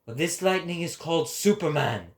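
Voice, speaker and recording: A man's voice: on the high side, expressive, moderate pace. A man voicing an impassioned, solemn prophet in a dramatic reading, with declamatory and emphatic phrasing. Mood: exalted, solemn. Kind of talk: dramatic reading of philosophical prose.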